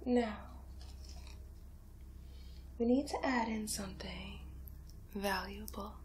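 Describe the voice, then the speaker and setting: A young woman playing a sly, vengeful witch in an ASMR roleplay, often whispering, breathy, deliberate, soothing yet menacing. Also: mid-range voice, darkish tone, a little hiss or hum, lightly acted. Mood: hushed, intent. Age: young adult. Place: small bedroom, indoors.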